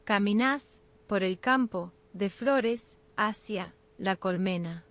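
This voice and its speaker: A woman narrating a scripted ASMR guided sleep meditation in short, unhurried phrases with pauses. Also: mid-range voice.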